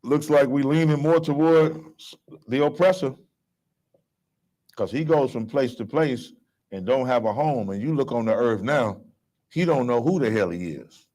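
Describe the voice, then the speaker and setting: A man's voice: medium pitch, measured pace, dark tone, rough recording. A man, leading a scripture class in a casual, coarse way and quizzing his listeners with "right?". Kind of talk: street-style scripture lecture.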